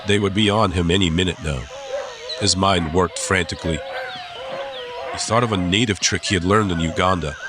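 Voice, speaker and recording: Male narrator for an adventure audiobook: low voice, suspenseful delivery, clear ambient sound effects behind. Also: steady tone. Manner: suspenseful, deliberate phrasing. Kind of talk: scripted dramatic audiobook narration. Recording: clear background ambience noise.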